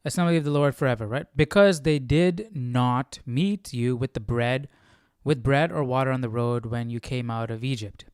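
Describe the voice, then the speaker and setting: A man's voice: mid-range voice, moderate pace, a little mellow, clear, resonant tone, no room sound, clean studio sound. A man hosting a casual Bible study stream, thinking aloud in a questioning way and often repeating and rephrasing himself as he reasons. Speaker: livestream Bible study host.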